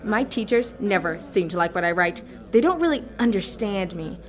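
A woman's voice: mid-range voice, animated, normal speed, natural voice. A woman voicing a gushing, dreamy teenage girl in a radio drama, full of "like" and "you know.".

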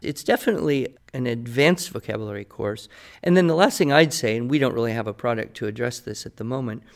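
A man's voice: mid-range voice, animated, quick pace, creaky. Articulate American man giving advice. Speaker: podcast guest expert, writing teacher. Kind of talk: conversational advice, answering listener questions.

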